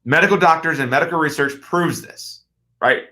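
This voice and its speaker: A man's voice: a little high, normal speed, sharp tone. A middle-aged man, hosting a health radio show as a chiropractor, talking earnestly and emphatically with frequent restarts and rhetorical questions. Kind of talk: health talk radio monologue.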